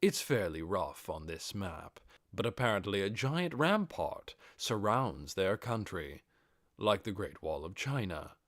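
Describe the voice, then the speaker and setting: Man voicing low, deliberate undead overlord. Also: big pitch swings, a bit bright, no room sound, studio quality, full character voice. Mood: serious, explaining. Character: commanding male undead overlord, measured and explanatory.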